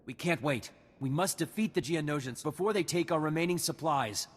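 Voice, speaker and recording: A man voicing an earnest, respectful young militia recruit in a video game, with a husky voice. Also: a little high, brisk, big-room sound, close to an everyday voice. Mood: determined, pleading.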